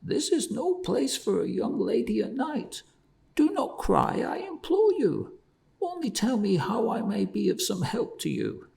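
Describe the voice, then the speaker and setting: Timid old merchant, shrill and strained, breathless pleading, wide swooping pitch, storybook tale. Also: male voice, huge pitch swings, slow pace, creaky, mellow, far from the mic, heavily acted. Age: older. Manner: high strained pleading, gasping whispers when scared. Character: terrified old male merchant, pleading and panicky. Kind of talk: storybook reading.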